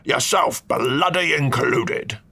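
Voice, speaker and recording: A man voicing a cantankerous elderly male duke who blusters and scolds in slow, gruff bursts. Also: mid-range voice, expressive, pushed and bright, full character voice. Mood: blustering. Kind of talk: audiobook character voice.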